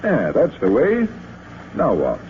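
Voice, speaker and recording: An actor playing a patient, confident stage magician giving instruction in an old radio drama. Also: male voice, medium pitch, wildly swooping pitch, takes it slowly. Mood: patient, instructive. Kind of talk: 1940s radio acting, instructing. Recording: tinny, hissy vintage radio recording.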